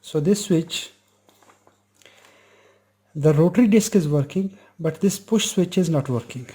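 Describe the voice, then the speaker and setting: A man with a slightly high but mellow, soft-edged voice talks through an electronics repair. He explains each step slowly, in short and plain sentences, as if showing a viewer exactly what his hands are doing. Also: breathy edge. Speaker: electronics repair channel host. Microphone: lapel mic.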